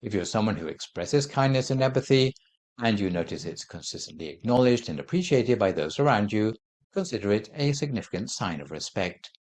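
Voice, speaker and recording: A Buddhist monk giving a calm dharma talk, explaining his points in a measured, gentle way. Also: lowish voice. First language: not Thai.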